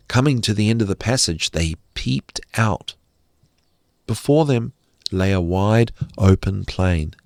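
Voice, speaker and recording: Male fairy-tale narrator, lowish voice, dry close sound, expressive and deliberate. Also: dry recording. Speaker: storyteller.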